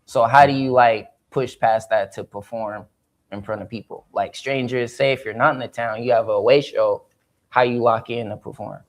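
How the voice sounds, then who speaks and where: A man's voice: medium pitch, fairly even intonation, normal speed. A man hosting a casual interview, talking in a hyped, slangy way full of "you know what I'm saying.". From Seattle. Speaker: interview host.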